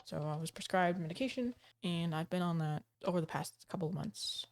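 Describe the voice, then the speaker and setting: A woman with a slightly low voice, a little off from a cold, talks straight to camera from home. She rambles in a casual vlog style, hesitating, restarting and padding her sentences with fillers. Her voice is picked up by a desk microphone. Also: a little fast, a little mellow, slight background noise. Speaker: gaming channel host. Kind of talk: casual talking-to-camera update.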